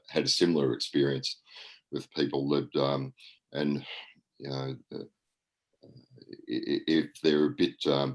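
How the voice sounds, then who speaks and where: Very deep-voiced Australian man, hesitant. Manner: hesitant, many fillers, rambling. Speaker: registrar reporting at an annual general meeting. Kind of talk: online meeting report.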